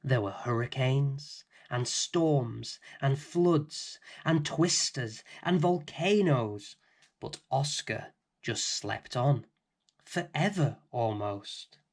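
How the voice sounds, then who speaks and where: A middle-aged man hosting and reading a children's bedtime story in a gentle, expressive way. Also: mid-range voice, slow pace. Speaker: storybook reader and host.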